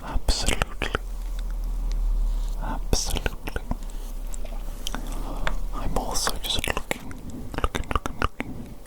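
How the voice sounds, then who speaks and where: A woman performing a whispered ASMR medical roleplay as an attentive, meticulous doctor, with a breathy, deliberate delivery that repeats words and phrases softly.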